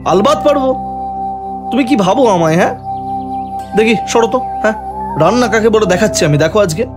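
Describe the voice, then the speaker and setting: A man's voice: high voice, animated, brisk, music in the background. A man playing a doting young village husband in an audio drama, fussing and bantering. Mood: boastful.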